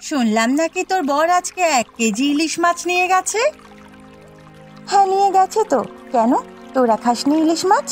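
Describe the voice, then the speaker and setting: A sharp-tongued village sister from a folk-tale cartoon acted by a woman. She quarrels and taunts in a very high-pitched voice over faint music. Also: expressive, normal speed. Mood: prickly, suspicious. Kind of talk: Bengali cartoon dialogue dubbing.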